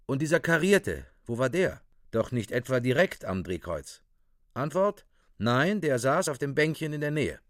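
A man's voice: mid-range voice, very animated, normal speed, no echo, studio quality. A man narrating a radio play from a script in a clipped, official, report-like manner.

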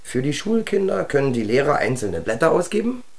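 An actor playing an eager, pedantic secret police underling in a radio drama, clipped and officious. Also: male voice, on the high side, very animated, a little fast.

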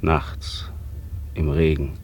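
Slow, sombre radio-play narrator. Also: male voice, a bass voice, little pitch movement, darkish tone, a little hiss or hum, heavily acted. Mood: grave, bitter. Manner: grave, deliberate. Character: sombre male prologue narrator of a tragedy. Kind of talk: solemn scripted narration, 1940s radio acting.

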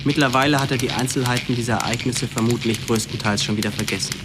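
An assured criminal profiler in a radio play, acted by a man. He reads a report in a measured, clinical way at medium pitch. Prominent music runs underneath. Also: steady tone, natural voice. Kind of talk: radio drama, formal report reading.

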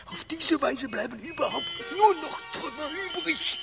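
Off-mic over music, a monument guard scolds in a very high, creaky voice. He is aggrieved and emphatically reproachful, in radio drama style. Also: lively intonation, normal speed, distant mic, full character voice. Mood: aggrieved, firm. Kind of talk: German radio play acting.

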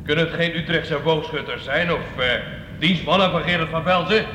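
An actor playing a domineering, suspicious medieval castle lord in a radio drama, curt and contemptuous in manner. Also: male voice, on the high side, normal speed, sharp tone. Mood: impatient, commanding. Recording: echoey, noisy old radio play recording.

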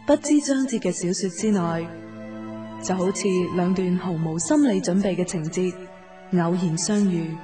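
A woman's voice: fairly low pitch, normal speed, close to an everyday voice. A woman narrating a love story as a poetic, scripted radio drama introduction in Hong Kong Cantonese. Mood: lyrical. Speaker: opening narrator. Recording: distant voice under prominent music. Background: new-age music.

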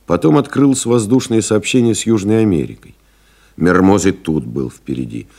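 An actor playing a reflective male pilot in an audio play, delivering a measured, contemplative literary monologue. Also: deep voice, warm tone, heavily acted. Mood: solemn, admiring. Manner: measured, contemplative, deliberate phrasing. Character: reflective male French pilot recalling his flights. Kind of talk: dramatic literary monologue, scripted narration.

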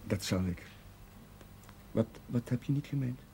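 Shy young Dutch chaplain, noisy soundtrack. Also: male voice, medium pitch, vocal fry, mellow. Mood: gentle, reassuring. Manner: hesitant, halting, earnest. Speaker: actor in television drama. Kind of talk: naturalistic 1970s television drama acting. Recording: noisy 1970s television soundtrack.

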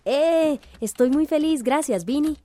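An actress playing a cheerful, earnest community organizer in a radio drama. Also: female voice, sits high, measured pace, warm tone. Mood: delighted.

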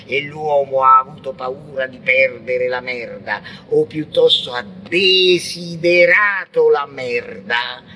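An actor playing a frenzied, blasphemous visionary poet in an avant-garde radio monologue, declaiming theatrically and lurching between whispers and shouts. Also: male voice, medium pitch, slow, hardly any pauses, a little hiss or hum, rough recording. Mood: grim, declaiming. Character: frenzied, blasphemous visionary poet ranting against God and man.

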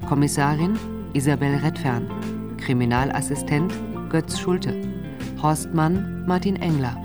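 A woman reading the scripted credits of a radio play as announcer. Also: sits low. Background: plucked guitar music.

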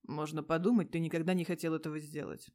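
A woman's voice: deep voice, steady tone, quick pace, mellow, pitched below the performer's own voice, lightly acted. A woman voicing a self-assured male police detective in an audio story, teasing and insistent in slangy speech. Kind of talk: audio story character dialogue.

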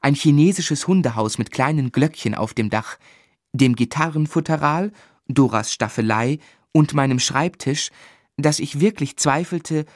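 An actor playing an earnest, tender young husband in a German radio play, articulate and measured in narration and dialogue. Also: male voice, on the high side, a little mellow, no echo, studio quality, lightly acted. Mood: wry narrating. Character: earnest, tender, gently exasperated young husband telling his story.

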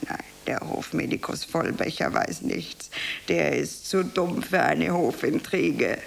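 A man playing a sinister, gloating lord and secret lover in a melodramatic radio play, with a gravelly, hushed, theatrical delivery. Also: fairly high pitch, heavily acted. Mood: gloating, mad. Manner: drawn-out, theatrical.

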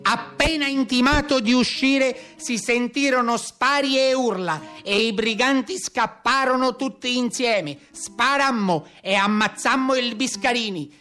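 A man's voice: very high voice, sharp tone, pitched above the performer's own voice, exaggerated voice. A man voicing a solemn carabiniere who recounts a brigand hunt, formal and declaiming, in theatrical narration. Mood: formal, emphatic.

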